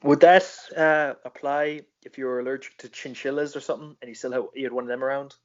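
A man's voice: mid-range voice, steady tone, quick pace. Foul-mouthed teasing man, lo-fi. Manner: swears constantly, teasing. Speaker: host asking questions. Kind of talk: casual chat. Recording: dull lo-fi recording.

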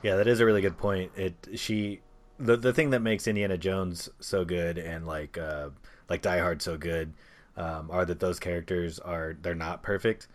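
A man with a low-pitched voice hosts a casual podcast chat about films. He talks informally with friends, conversational and loose, with the odd mild swear. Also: fairly even intonation, moderate pace. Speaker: podcast host. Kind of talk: casual film discussion among friends.

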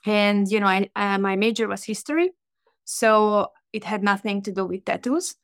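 A woman's voice: mid-range voice, moderate pace, no echo, clean studio sound. A woman in her thirties from Hungary, with a Hungarian accent, chatting as a podcast guest and laughing easily. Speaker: podcast guest, tattoo artist. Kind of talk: casual storytelling.

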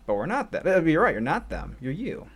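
A man's voice: a little high, big pitch swings, brisk, a bit bright, breathy edge. A man who assists as a martial arts instructor, musing on a podcast in a rambling, reflective way. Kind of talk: casual podcast chat.

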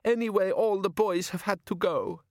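A man voicing a tearful young village woman who pleads softly. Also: high voice, moderate pace, no room sound, clean studio sound, voice pushed up, lightly acted. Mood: plaintive. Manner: plaintive, weepy.

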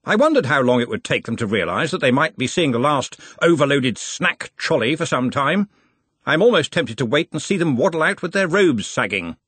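A man doing a grand old wizard chancellor in a storybook, booming and pompous, his voice swooping up and down wildly. The tone is husky and breathy. It sits close and dry. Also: sing-song, normal speed, fairly bright tone, dry recording, exaggerated voice. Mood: jovial. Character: blustering elderly male wizard head of a university.

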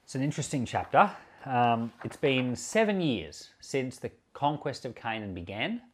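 Man preaching with lively, swooping pitch. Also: normal speed, breathy edge. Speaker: pastor and podcast host. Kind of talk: scripture reading and informal sermon commentary.

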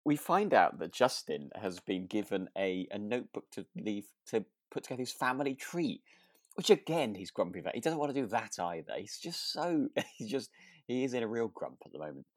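A man co-hosting a relaxed, chatty podcast, talking in a good-humoured, hesitant way and often correcting himself. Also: on the low side, a little fast. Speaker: podcast co-host. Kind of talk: relaxed casual chat about a radio drama.

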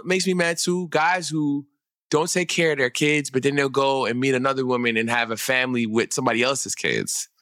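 A man talks in animated, heated bursts full of street slang, pitch swinging up when he gets worked up. His voice is bright and forward, recorded close and dry with no room sound. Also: dry recording. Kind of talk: casual podcast chat.

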